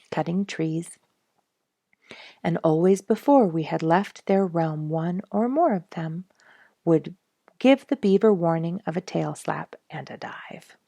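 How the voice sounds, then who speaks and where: A woman narrating a children's story aloud in a measured, deliberate way with dramatic emphasis. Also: low voice, creaky, a little mellow, dry recording. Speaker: children's story reader, regular of the show.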